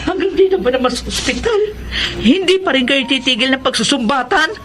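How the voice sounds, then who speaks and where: A woman's voice: high voice, lively intonation, brisk, over music. A woman playing a worried adult sister and daughter in a Filipino radio drama. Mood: tearful exasperation. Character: worried, exasperated adult sister caring for mother. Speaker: drama actor.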